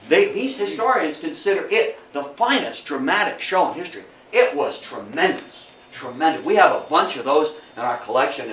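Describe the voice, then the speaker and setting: A man's voice: on the high side, a bit bright. An older American man giving an informal history lecture, telling stories in a folksy, emphatic way to a live audience. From United States. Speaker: guest lecturer on old-time radio history. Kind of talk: informal public lecture with anecdotes. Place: indoor talk to a live audience at a historical society. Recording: roomy, distant mic with faint noise.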